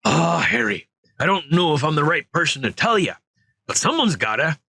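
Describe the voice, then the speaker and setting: Man doing a worried gruff giant gamekeeper, higher pitch, folksy halting speech, lively. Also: no room sound, exaggerated voice. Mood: anxious.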